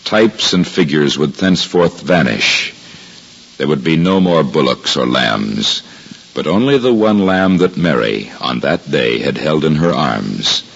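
Very deep, gravelly, devout narrator. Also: male voice, expressive, a bit bright, heavily acted. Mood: grave, reverent. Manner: solemn, measured. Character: devout elderly male narrator recalling sacred events, grave. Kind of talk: 1950s radio drama narration. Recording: dull 1950s radio recording, music behind.

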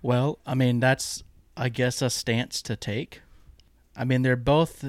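A man's voice: mid-range voice, slow pace, a little hiss or hum. A man co-hosting a casual podcast chat, talking loosely with many fillers and often checking for agreement. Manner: relaxed, filler-heavy, seeks agreement with "right?". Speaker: podcast co-host.

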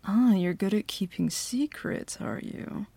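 Woman voicing a bossy female CEO, teasing and confident, slow unhurried talk, a little vocal fry. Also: medium pitch, dark tone, no room sound. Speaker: ASMR roleplay performer. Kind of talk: flirty ASMR roleplay.

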